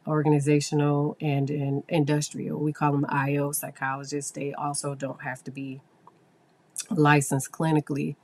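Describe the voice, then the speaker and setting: A hoarse woman hosting a psychology podcast, talking casually and explaining things with fillers. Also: low-pitched, monotone, normal speed, darkish tone. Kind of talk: casual solo podcast talk.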